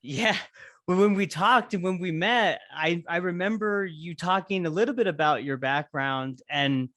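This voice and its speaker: A man's voice: high voice, normal speed, pushed and bright, dry recording, clean studio sound. A man hosting a podcast, chatting in a laid-back way and often tagging his sentences with "man.". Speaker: podcast host. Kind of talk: casual interview chat.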